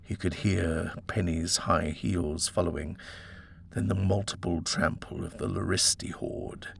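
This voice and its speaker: A man's voice: low voice, steady tone, unhurried, vocal fry, breathy edge. A man reading a horror story as a dramatic audiobook narrator.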